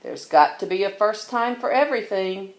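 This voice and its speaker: A woman's voice: mid-range voice, animated, normal speed, roomy sound, lightly acted. A woman voicing a cheerful, matter-of-fact father bear from a children's storybook.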